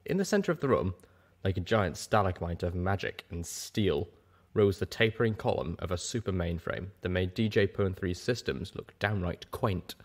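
A man's voice: deep voice, expressive, normal speed. A man reading scripted first-person fiction as an audiobook narrator.

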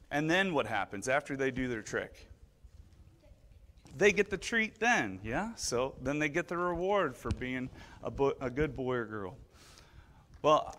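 A man's voice: mid-range voice, fairly bright tone. A middle-aged man leading a children's sermon in a church, asking the children lots of friendly questions with frequent fillers. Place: church sanctuary with pews. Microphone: far camera.

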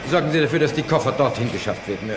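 A man's voice: mid-range voice, lively intonation, racing, natural voice. An older man playing a pompous, imperturbable genius professor in a radio drama, formal, precise and condescending. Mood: brisk, bossy. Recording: echoey room, background noise.

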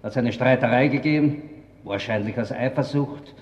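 A man's voice: medium pitch, normal speed, dull audio, close to an everyday voice. A man acting a hectoring Viennese detective, echoey. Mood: needling. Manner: hectoring, sardonic. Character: pushy, sarcastic, bullying male police detective. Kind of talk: crime radio play acting. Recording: echoey reverberant cold-room scene.

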